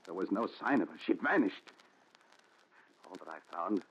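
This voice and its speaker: An actor playing a haunted, fearful man in a 1940s radio thriller, with an intense, halting, dramatic delivery. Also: deep voice, lively intonation. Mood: haunted, desperate. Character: haunted, fearful man confessing terrors to his doctor. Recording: muffled, noisy 1940s radio recording.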